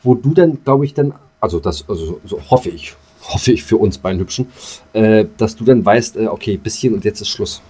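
A man's voice: fairly low pitch, lively intonation, a little fast, warm tone. A man co-hosting a podcast in loose, casual banter, talking in slang with mild swearing. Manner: slangy, mildly cursing. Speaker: podcast co-host. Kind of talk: casual banter between friends.

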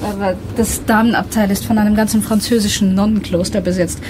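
A woman acts a genteel lady traveller in a radio drama. She is chatty and politely effusive at a middling pitch, with clear vehicle noise around her. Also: fairly even intonation, a little fast, lightly acted. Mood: apologetic, charming. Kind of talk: radio play acting.

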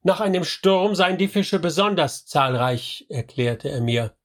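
A man's voice: fairly high pitch, lively intonation. An actor playing a pious medieval man, solemnly recounting his hard journey in a radio drama. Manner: solemn, measured storytelling.

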